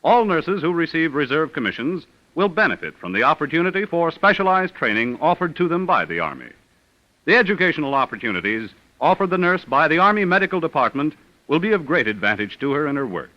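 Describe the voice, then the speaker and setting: A man working as a radio announcer in formal 1940s network style, with polished, measured, declamatory delivery. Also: on the high side, very animated, sharp tone. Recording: muffled vintage radio recording with music.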